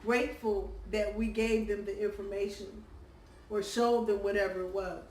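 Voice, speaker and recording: A hoarse woman giving a casual weekly tarot reading, chatty and full of ums and uhs. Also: mid-range voice, little pitch movement, normal speed. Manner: chatty, warm-hearted, lots of ums and uhs. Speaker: tarot reader. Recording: roomy, distant from the mic, faint background hum.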